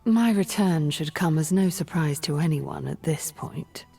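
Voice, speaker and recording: An actress playing a powerful, scheming sorceress in a video game, speaking in a measured, cryptic and imperious way. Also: female voice, sits low, vocal fry, warm tone, faint music behind. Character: powerful, scheming female sorceress, leader of a secret sisterhood. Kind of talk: video game character voice lines.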